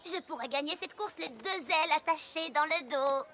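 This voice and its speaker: High-pitched brash cartoon pony. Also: female voice, animated, normal speed, very noisy. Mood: teasing. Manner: cocky, taunting. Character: brash boastful young female pegasus pony, competitive. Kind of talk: animated cartoon dubbing. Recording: music behind the voice.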